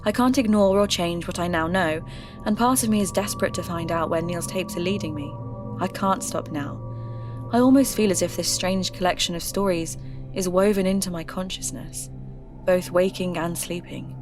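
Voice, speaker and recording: A woman playing an earnest podcaster who narrates her eerie folklore investigations in a measured, reflective way over music. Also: fairly low pitch, natural voice. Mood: reflective, intrigued. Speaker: podcast host. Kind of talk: scripted first-person mystery narration.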